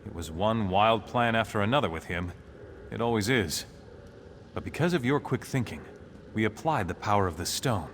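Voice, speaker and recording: A man's voice: fairly low pitch, expressive, normal speed, slight background noise, close to an everyday voice. A man playing a hopeful nobleman and doting husband in a video game, earnest and theatrical as he clings to good cheer. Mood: jovial. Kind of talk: dramatic game dialogue.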